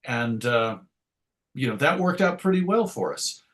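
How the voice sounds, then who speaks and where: A man's voice: medium pitch, sing-song, a little slow, fairly bright tone. Chatty middle-aged man reminiscing. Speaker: interview guest, comics writer and editor. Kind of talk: casual interview, reminiscing anecdotes.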